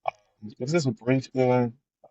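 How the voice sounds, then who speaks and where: A man's voice: mid-range voice, a little slow, warm tone, no room sound. A man hosting a podcast, speaking casually with many hesitations and slang. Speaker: podcast host. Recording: dry, dull lo-fi sound.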